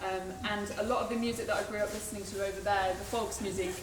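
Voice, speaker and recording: A woman musician chats to a live audience between tunes, stumbling through her words with lots of fillers. Her voice sounds distant and echoey, as if caught by a mic across the room, with clear background noise. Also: medium pitch, fairly even intonation, quick pace. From England. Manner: chatty, hesitant with many fillers. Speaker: folk musician. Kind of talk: casual stage introduction.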